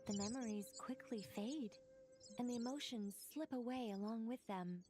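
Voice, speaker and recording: An actress playing a wise, composed female angel in a video game, speaking in formal, measured, articulate phrases. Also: a little high, warm tone, soft background music, close to an everyday voice. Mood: wistful, reflective. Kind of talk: scripted video game voice acting.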